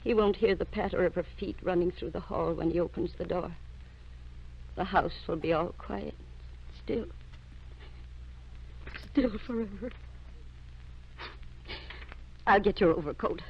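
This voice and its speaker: A woman's voice: lowish voice, normal speed, creaky, long pauses, a little hiss or hum. An actress playing an emotional, reproachful woman, dramatic and insistent, in an old-time radio melodrama. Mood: grieving. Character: emotional, reproachful woman pleading for a dying girl. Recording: dull vintage radio recording.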